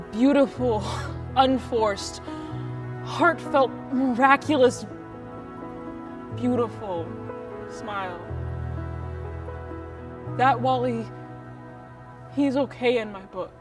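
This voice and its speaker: A woman's voice: a little high, takes it slowly, long pauses, recorded from a distance, music in the background. A young woman acting a dramatic monologue as a wry, defiant woman in labour, breathless and pleading. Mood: tender, defiant. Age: young adult. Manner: dramatic, breathless, with sardonic asides. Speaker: student actor. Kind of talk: theatrical monologue performance.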